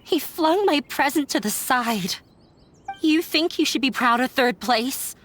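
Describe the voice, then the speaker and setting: A weary, hurt young daughter. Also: female voice, high-pitched, expressive, measured pace, a little hiss or hum. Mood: resigned. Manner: quiet, resigned storytelling. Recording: game audio with faint music.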